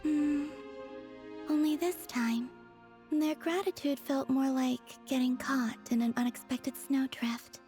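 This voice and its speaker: This is an enigmatic young moon maiden from an anime game. Her voice is high, soft and breathy, her phrasing gentle, formal and unhurried, with faint music behind it. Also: steady tone. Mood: calm, wryly amused. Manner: unhurried, formal, dreamy, understated. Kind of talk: anime game character dialogue.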